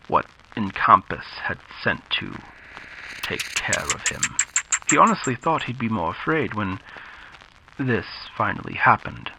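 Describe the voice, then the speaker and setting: Intense, sharp-voiced male dramatic narrator. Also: medium pitch, vocal fry. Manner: dramatic, deliberate storytelling with pauses. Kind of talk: scripted dramatic narration of a radio-style thriller. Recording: slightly dull, faint background noise.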